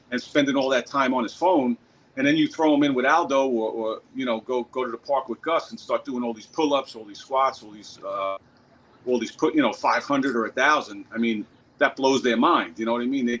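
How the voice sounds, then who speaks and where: A man's voice: mid-range voice, fairly bright tone, a little hiss or hum, poor audio. A man hosting a workout stream outdoors in a park, chatting casually, rambling and swearing freely. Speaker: workout channel host.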